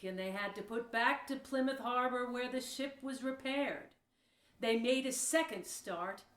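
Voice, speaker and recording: A woman reading scripted storytelling narration for a historical audio play. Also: medium pitch. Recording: roomy, echoing room sound.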